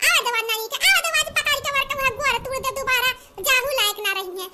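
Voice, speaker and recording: A woman voicing a cranky old village mother-in-law in a cartoon comedy, grumbling and scolding in an Awadhi accent with an artificially raised pitch. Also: fast talker. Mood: threatening. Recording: noticeable background noise.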